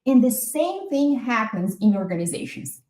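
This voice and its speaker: A middle-aged woman from Brazil with a Brazilian Portuguese accent, a Portuguese native speaker, giving an online masterclass in an energetic, enthusiastic and talkative way. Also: on the high side, normal speed, echoey room. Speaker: masterclass presenter.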